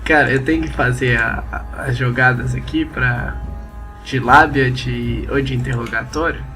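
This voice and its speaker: A man's voice: medium pitch, fairly even intonation, moderate pace, bright, forceful tone, faint music behind. A young man with a Brazilian accent chatting casually as a player in a tabletop roleplaying game.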